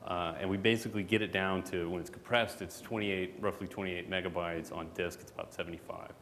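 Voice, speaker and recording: Deep-voiced man presenting in a reverberant hall. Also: brisk. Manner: relaxed and joking, with many fillers. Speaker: product manager presenting at a conference. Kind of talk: conversational conference talk. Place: conference hall. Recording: hall reverb, faint background noise.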